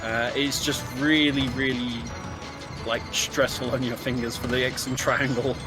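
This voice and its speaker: A man giving casual, explanatory speedrun commentary in a somewhat halting way. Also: medium pitch, flat delivery, poor audio. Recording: game music behind the voice. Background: video game music.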